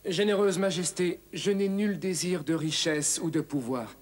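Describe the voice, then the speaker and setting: A man's voice: high voice, fairly even intonation, moderate pace. A man playing an earnest, honourable young knight in a cartoon, speaking formally. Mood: humble, sorrowful. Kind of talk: dubbed cartoon acting.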